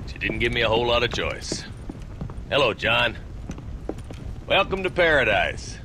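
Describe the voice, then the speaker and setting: An actor playing a tough, weary old frontier marshal in a Western video game, gruff and dryly sarcastic with an American Western accent. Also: male voice, mid-range voice, very animated, a little slow, noisy background. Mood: dry, sardonic. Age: older. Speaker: town marshal.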